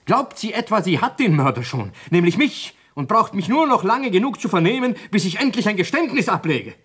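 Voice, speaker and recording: A doctor under suspicion in a radio drama, his slightly high voice swinging widely in pitch. He is agitated and defensive, pleading and faltering, with a husky edge. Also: sing-song, a little fast, fairly bright tone, heavily acted. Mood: agitated, indignant. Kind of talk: German radio play acting.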